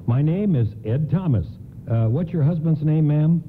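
A man's voice: fairly high pitch, expressive, normal speed, close to an everyday voice. Man playing a courteous, reassuring store doorman. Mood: helpful. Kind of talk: radio play acting. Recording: thin phone-like band, noisy radio broadcast.

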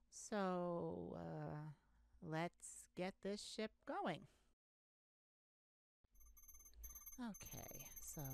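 A woman playing an earnest, easily flustered space ambassador, halting and awkward yet formal, in an ASMR sci-fi roleplay. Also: lowish voice, dry recording. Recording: noticeable background noise.

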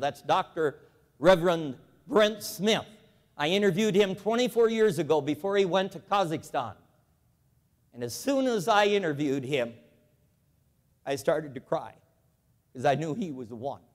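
A man in his seventies giving a lively, folksy, emphatic storytelling speech to a church convention, walking the floor with a lapel mic. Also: on the high side, long reverb tail. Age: 70s. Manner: animated, folksy, emphatic repetition. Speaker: convention speaker. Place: large convention hall.

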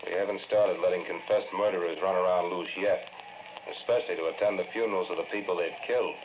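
An actor as stern low-voiced radio lawman. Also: fairly even intonation, fast talker, natural voice. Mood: scolding. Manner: blunt, reproachful. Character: stern, skeptical lawman escorting a prisoner, scolding and impatient. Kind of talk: 1940s radio drama acting. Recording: muffled, noisy 1940s radio recording.

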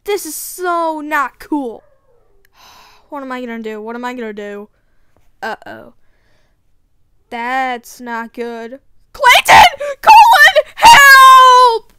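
A woman voicing an excitable, easily panicked kid in a monster adventure game, with a wide-eyed, exclamatory manner. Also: very high voice, slow pace, long pauses, exaggerated voice. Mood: alarmed, worried. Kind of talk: video game voice lines.

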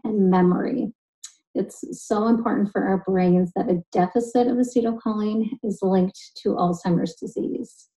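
Mellow-voiced woman explaining nutrition. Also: medium pitch, low-quality recording. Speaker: nutritionist and genetic specialist. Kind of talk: scripted educational explainer.

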